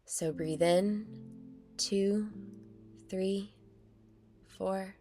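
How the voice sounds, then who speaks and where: A woman narrating a guided meditation in a calm, soothing, measured way with long pauses. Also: mid-range voice. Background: meditation music.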